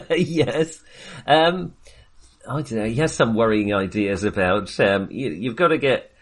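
A man's voice: medium pitch, slow. A man hosting a casual film discussion, talking in a hesitant, jokey way with wry understatement. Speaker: film podcast host.

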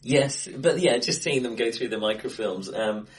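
A male podcast co-host talks films in a casual, conversational way, with a medium-pitched voice. He slips into quoted asides as he makes his points. The room around him sounds bright and reflective. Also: moderate pace, a crowd in the background. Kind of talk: casual film discussion. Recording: acoustically bright, echoey room.

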